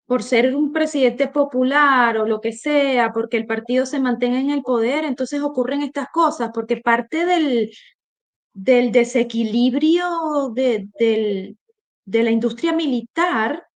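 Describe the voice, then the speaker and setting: A woman from Venezuela with a Venezuelan accent, co-hosting a political discussion in an emphatic, opinionated way, often restarting her phrases. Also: high voice. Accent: Venezuelan Spanish. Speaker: co-host.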